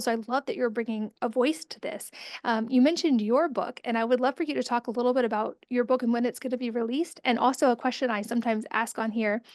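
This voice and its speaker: A woman's voice: fairly high pitch, no echo, clean studio sound. A woman hosting a podcast interview, speaking enthusiastically and fluently in long, linked sentences. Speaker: podcast host.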